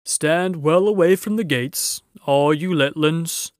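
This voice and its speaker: A big, good-natured giant from a children's storybook, acted out by a man, speaks in a raised, loud voice. He is humble and deferential, with homely rustic phrasing. The sound is close and dry. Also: fairly high pitch, slow pace, no room sound, pitched above the performer's own voice. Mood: obliging, eager. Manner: deferential, folksy, rustic speech.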